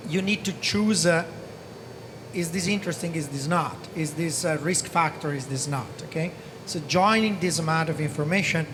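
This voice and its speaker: A man in his thirties from Italy with an Italian accent, speaking on a conference panel into a handheld mic, earnest and repeating "okay?" often. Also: fairly high pitch. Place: large conference hall stage. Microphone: handheld microphone. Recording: reverberant hall with background noise.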